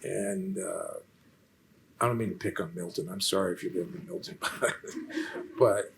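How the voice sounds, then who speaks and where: Soft-spoken gravelly man, halting. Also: low-pitched, fairly even intonation, background noise. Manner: halting, with many restarts and fillers. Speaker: featured lecturer in a Q&A. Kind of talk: unscripted lecture conversation.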